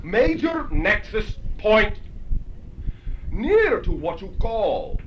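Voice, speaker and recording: A man voicing a channeled alien entity, a calm authority giving deliberate, formal, oddly phrased answers in a question session. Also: high voice, lo-fi sound, exaggerated voice. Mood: measured, emphatic. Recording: hissy 1980s cassette tape with clicks.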